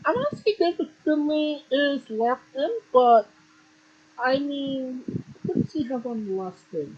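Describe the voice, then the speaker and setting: A man's voice: very high voice, animated, a little slow, highs cut off. A man hosting a casual wrestling podcast, matter-of-fact, reeling off standings with offhand swearing. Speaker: podcast host.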